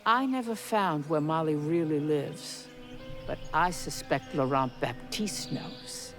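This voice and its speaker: An actress playing a genteel, menacing older Southern matriarch in a video game, with measured, coy, condescending politeness and an American Southern accent. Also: female voice, low voice. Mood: measured, sly. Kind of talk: video game cutscene acting. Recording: music under the voice.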